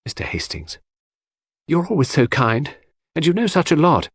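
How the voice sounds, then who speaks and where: Audiobook role: male narrator as wistful young woman, hesitant and confiding, pitched up, slightly breathy and rough. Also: very animated, moderate pace, no room sound. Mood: anxious, appealing. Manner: hesitant, plaintive.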